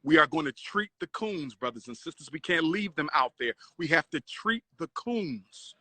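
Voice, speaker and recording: Man, preachy and mocking, forceful bright tone, dry close sound. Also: fairly high pitch, lively intonation, moderate pace, no echo. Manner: preachy, mocking, repeats "brothers and sisters".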